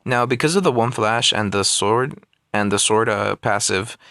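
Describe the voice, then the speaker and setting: A man's voice: fairly low pitch, moderate pace, vocal fry, bright, forceful tone, dry recording, clean studio sound. Rambling man recording gameplay commentary on a phone. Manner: rambling, many fillers and restarts. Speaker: mobile game player.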